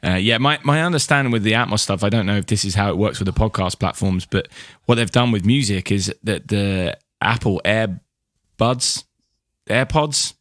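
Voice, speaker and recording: Lowish-voiced man hosting, very dry sound. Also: moderate pace, fairly bright tone, no echo. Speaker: podcast co-host, interviewer. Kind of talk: casual interview questioning.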